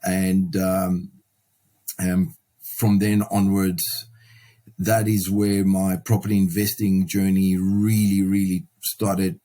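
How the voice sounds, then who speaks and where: Low, even-toned man in a conversational interview. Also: slow. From Sudan and South Africa. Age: middle-aged. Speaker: podcast guest, property investor and mentor.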